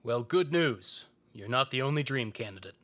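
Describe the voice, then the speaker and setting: A man's voice: mid-range voice, animated, normal speed, fairly bright tone, close to an everyday voice. A man playing a mild, curious companion with a wry touch, in an audio drama.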